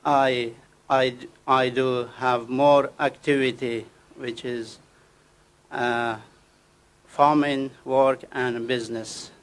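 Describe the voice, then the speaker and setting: Slow, halting man from Afghanistan. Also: medium pitch, little pitch movement. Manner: halting, with restarts and broken grammar. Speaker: guest speaker. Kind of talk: prepared speech at a ceremony.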